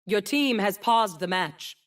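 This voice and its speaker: A woman's voice: mid-range voice, animated, a little slow, lightly acted. A woman voicing a poised game announcer, delivering short match calls in a clipped, authoritative manner. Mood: composed, emphatic. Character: poised female announcer of a tactical shooter game.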